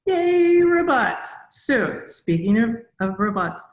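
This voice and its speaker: A woman's voice: a little high, big pitch swings, slow. An American woman in her fifties casually hosting an interview podcast. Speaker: podcast host, author. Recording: roomy, echoey sound.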